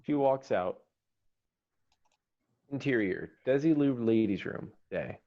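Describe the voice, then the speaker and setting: Male narrator for screenplay table read, scripted delivery, mid-pitched, slightly muffled lo-fi sound. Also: expressive, takes it slowly. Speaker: narrator reading screenplay stage directions. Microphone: video call. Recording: dull lo-fi online call audio.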